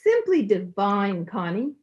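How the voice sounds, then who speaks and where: A woman's voice: mid-range voice, sing-song, a little slow, a little mellow, lo-fi sound. A woman playing a kindly, absent-minded older landlady in a motherly, fussing way, as in old radio comedy. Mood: fussing, sweet.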